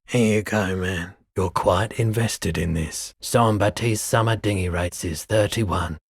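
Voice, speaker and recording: A world-weary pawnshop owner from a video game, male and very low in pitch. He takes his time over each phrase, speaking slowly and softly with a wistful, laid-back air. The voice is husky and sits close and dry. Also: no echo, full character voice. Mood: businesslike, relaxed. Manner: unhurried, low-key, deliberate. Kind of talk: video game character dialogue.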